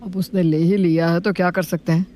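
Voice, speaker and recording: A man's voice: high-pitched, flat delivery, brisk, mellow, natural voice. Easygoing family dad, played by a man. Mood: easygoing, amused. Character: easygoing, amused father. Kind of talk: animated family story dub.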